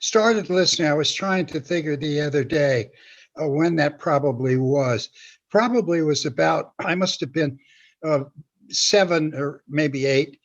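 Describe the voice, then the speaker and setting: An elderly man in his eighties with a fairly high voice reminisces as an interview guest. He speaks haltingly and rambles, repeating words as he goes. The sound is a little dull and lo-fi. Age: 80s. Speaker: guest, veteran script writer.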